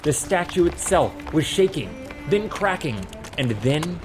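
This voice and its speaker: Audiobook narrator, really animated fantasy storytelling, music playing under the voice. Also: mid-range voice, measured pace. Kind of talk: dramatic scripted fantasy narration.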